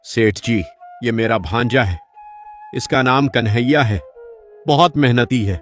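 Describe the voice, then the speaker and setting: A man playing a humble, patient old village uncle in an animated moral story, speaking earnestly and reassuringly. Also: mid-range voice, measured pace, close to an everyday voice. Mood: humble, pleading. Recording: music behind the voice.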